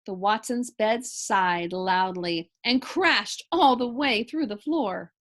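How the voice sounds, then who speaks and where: A woman reading a children's book aloud in an animated, deliberate storybook delivery. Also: mid-range voice.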